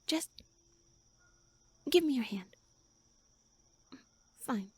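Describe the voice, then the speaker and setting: A woman playing a pouty tsundere elf shrunk to pixie size in a roleplay audio, haughty, flustered and halting as she speaks to the listener. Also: sits high, lively intonation, husky, heavily acted. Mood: sheepish, haughty.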